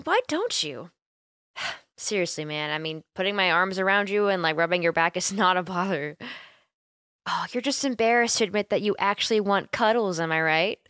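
A woman's voice: mid-range voice, no room sound. A caring young woman roommate, acted by a woman in an ASMR roleplay, recorded close and dry. Her intonation swings freely as she coaxes and teases her friend, hesitant at times and playful. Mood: affectionate, coaxing. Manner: playful, gently coaxing, giggly, hesitant. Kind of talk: ASMR roleplay, intimate one-sided conversation.

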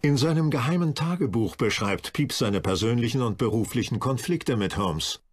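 A man's voice: mid-range voice, lively intonation, normal speed, slightly rough. A man reading scripted documentary narration.

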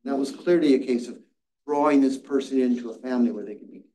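A man's voice: mid-range voice, quick pace, mellow, a little hiss or hum. An older man teaching a Sabbath school class, telling a story earnestly and often restarting his words, heard from across the classroom. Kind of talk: teaching a Bible study class. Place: church classroom with seated audience. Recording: echoey room from far off.

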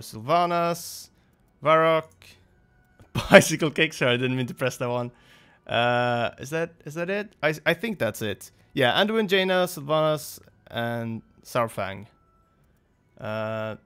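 A man's voice: medium pitch, fairly bright tone, smooth. A young man hosting a gaming video, talking off the cuff in a casual, hesitant, rambling and apologetic way.

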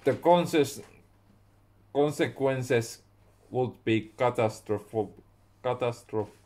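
A young man with a Finnish accent, a native Finnish speaker, slowly reading his own story aloud at home and stopping to correct his words. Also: medium pitch, dark tone. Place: small room indoors at home.

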